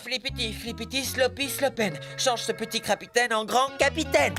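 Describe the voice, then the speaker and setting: A man's voice: very high voice, normal speed. A man voicing a silly, bumbling, apologetic pirate genie in a cartoon. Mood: playful. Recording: music bed behind.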